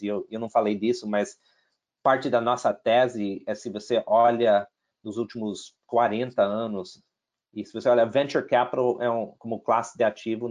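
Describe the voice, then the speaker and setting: Low-voiced man with an American accent. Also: a little mellow, dull audio. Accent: American English, some Brazilian and European Portuguese. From United States. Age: middle-aged. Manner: hesitant, many restarts and fillers, mixes in English words. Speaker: investor guest. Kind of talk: casual interview talk.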